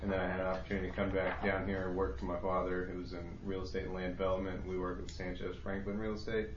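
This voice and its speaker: A young man in his twenties introduces himself at a civic meeting, hesitant and full of fillers. His voice is very deep and flat. The room is echoey and the recording has audible hiss. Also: quick pace, muffled recording. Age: 20s. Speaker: commission applicant. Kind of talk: self-introduction at a public meeting.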